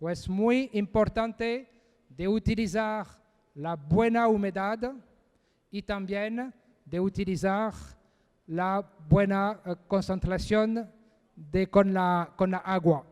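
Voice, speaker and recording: High-voiced man in an echoey hall. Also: darkish tone, smooth. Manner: halting, searching for words in a second language. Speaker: conference speaker. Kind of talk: technical presentation.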